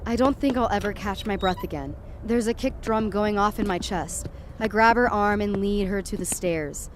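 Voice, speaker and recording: A woman's voice: medium pitch, moderate pace, slight background noise, lightly acted. A woman playing a frightened, dazed young woman who narrates her nightmare in the first person, intense and dramatic. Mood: shaken, subdued. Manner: intense, dramatic, swinging from hushed to urgent.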